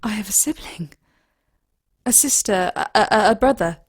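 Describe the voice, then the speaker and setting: A woman playing an emotional, traumatized young woman in an audio drama, with a husky voice and halting delivery. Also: mid-range voice, lively intonation, dry recording, clean studio sound. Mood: shocked. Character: traumatized young woman learning her lost family history.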